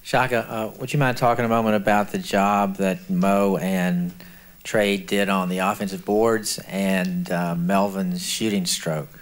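A man's voice: low voice, level tone, a little slow. A man working as a reporter, asking the coach polite, slightly hesitant questions at a press conference.

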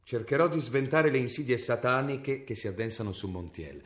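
An actor playing a stern, cold medieval inquisitor in a radio drama, speaking in a severe, commanding, formal way. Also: male voice, mid-range voice, animated, a little fast, a bit bright, lightly acted. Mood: resolute, cold.

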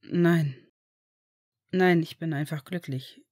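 A protective father figure with a soft, slow, hushed delivery, as a woman reader drops her voice to play him. His tone stays lowered and tender throughout. The recording is dry and close, with no room sound. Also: dry recording. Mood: soothing. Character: gentle protective adult male father figure. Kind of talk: audiobook character voice.

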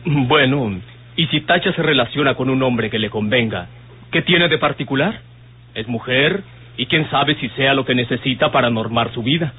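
An actor playing a calm, teasing man who reasons in a measured way, in old radio drama style. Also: medium pitch, slightly rough, close to an everyday voice. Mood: amused, reassuring. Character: calm, wryly amused male confidant reassuring a woman. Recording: muffled tinny old radio recording.

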